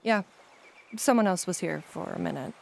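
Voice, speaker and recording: A woman's voice: lowish voice, animated, normal speed, gravelly. An actress playing a practical, reassuring female friend, speaking patiently in an audio play.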